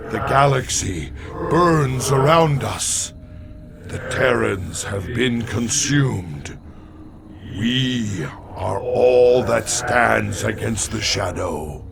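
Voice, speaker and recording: A solemn alien elder warrior speaks very slowly in a low, creaky voice. His delivery is grave and portentous, as in a video game, over loud background noise. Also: exaggerated voice.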